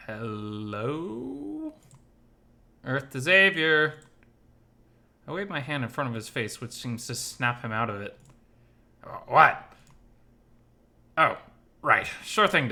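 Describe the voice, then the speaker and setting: A man reading a visual novel aloud as expressive first-person story narration. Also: medium pitch. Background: faint music.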